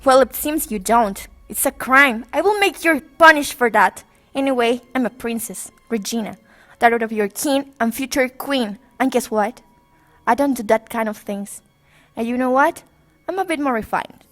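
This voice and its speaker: A woman's voice: fairly high pitch, moderate pace, fairly bright tone, soft background music, natural voice. A woman playing a spoiled, entitled young princess, indignant and imperious in a fairytale story. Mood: indignant, haughty. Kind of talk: acted fairytale storybook dialogue.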